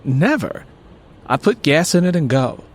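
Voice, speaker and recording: An exasperated woman in an audiobook drama, acted by a male narrator, pitched up from his usual voice. She sounds blunt and guarded, with a husky edge and lively swings in tone. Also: unhurried. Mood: defensive. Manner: frustrated, blunt, reluctant. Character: exasperated, guarded young woman with broken-down car.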